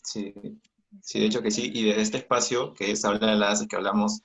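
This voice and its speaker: A man's voice: fairly low pitch, little pitch movement, moderate pace, gravelly. A man hosting a casual interview, hesitant and often repeating his words. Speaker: interview host. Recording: dull, dry online call audio.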